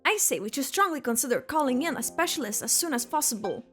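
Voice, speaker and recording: A woman's voice: high-pitched, expressive, fast talker, a bit bright, soft background music. A woman voicing a shrewd, frank snake in a video game, knowing and matter-of-fact. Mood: knowing, advising. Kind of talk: video game character acting.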